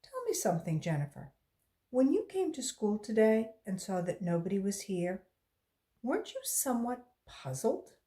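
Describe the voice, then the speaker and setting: A woman's voice: mid-range voice, very animated, moderate pace, dark tone, voice pushed up, natural voice. Woman playing a stern, suspicious man. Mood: probing.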